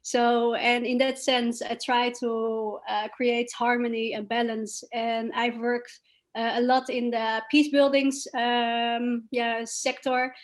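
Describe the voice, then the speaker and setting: Higher-voiced woman speaking reflectively. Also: level tone, takes it slowly. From Chile-born, grew up elsewhere. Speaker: activist introducing herself. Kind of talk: informal, reflective personal talk.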